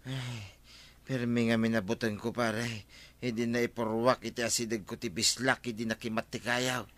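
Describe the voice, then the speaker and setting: A man's voice: medium pitch, level tone, moderate pace, a bit bright, close to an everyday voice. An actor with an Ilocano accent playing an anxious, timid village man in a radio comedy drama, full of exclamations. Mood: worried.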